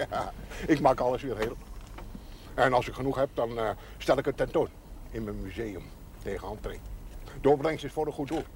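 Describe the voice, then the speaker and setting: A man's voice: mid-range voice, moderate pace, darkish tone, close to an everyday voice. Man playing a chuckling folksy village garbage collector, kindly and odd, noisy old TV sound. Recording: noisy 1970s TV audio.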